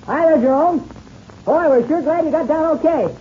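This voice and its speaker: An actor playing an eager, boyish young American pilot in an old radio serial, earnest and enthusiastic, with an American accent. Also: male voice, very high-pitched, moderate pace. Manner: earnest, gee-whiz enthusiasm. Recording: noisy, dull old radio recording.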